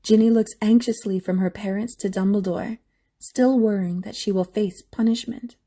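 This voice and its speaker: A woman's voice: medium pitch, steady tone, normal speed, dark tone. A woman reading scripted story narration for an audio drama.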